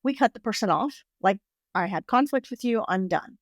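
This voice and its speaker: A woman relationship therapist giving calm, practical explanations in a podcast interview. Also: medium pitch, normal speed, no echo, studio quality.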